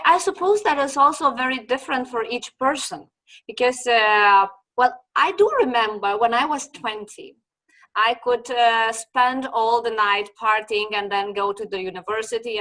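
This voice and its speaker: A woman's voice: a little high, sharp tone, rough recording. A woman in her forties with a Lithuanian accent, hosting a casual interview as a sleep consultant, chatty and searching for words with fillers and repeats. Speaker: host and children's sleep consultant.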